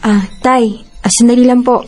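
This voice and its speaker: A woman's voice: a little high, steady tone, moderate pace, darkish tone, soft background music, natural voice. A woman playing a polite, dutiful young Filipino daughter and elder sister in a Tagalog radio drama. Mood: guarded, weary. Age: young adult. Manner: polite, earnest.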